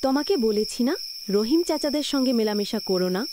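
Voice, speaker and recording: A woman acting a devoted, gentle but firm young village wife in a scripted cartoon drama, speaking tenderly and earnestly. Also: on the high side, animated, fast talker, noisy background, close to an everyday voice. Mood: pleading, tender.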